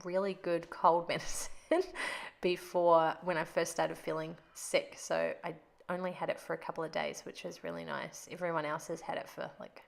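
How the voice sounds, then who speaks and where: A woman's voice: deep voice, flat delivery, moderate pace, gravelly. A woman hosting a craft video, narrating casually in a chatty, rambling way, sounding stuffed up from a cold. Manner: chatty and rambling, laughs often.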